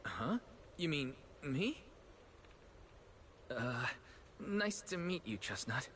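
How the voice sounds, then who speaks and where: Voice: mid-range voice, very animated, extremely slow, background noise. An actor voicing a reserved, supportive companion in an anime-style game. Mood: awkward, surprised.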